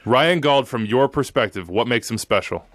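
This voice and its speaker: A man's voice: mid-range voice, expressive, moderate pace, fairly bright tone, dry recording, studio quality. A man hosting a soccer show, asking a coach questions and bantering casually. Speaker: show host.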